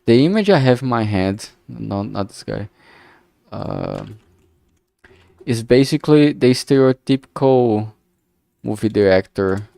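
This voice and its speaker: A man's voice: mid-range voice, expressive, a little slow, vocal fry, pauses often, warm tone, no echo. A man from Brazil with a thick Brazilian Portuguese accent, rambling hesitantly with many fillers as he thinks aloud on a stream. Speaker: game developer streamer.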